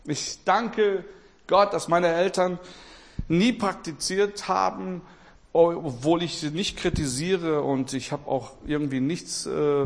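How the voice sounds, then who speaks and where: A man from Portugal preaching a sermon with passion, repeating his phrases and swinging between loud and quiet. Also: on the high side.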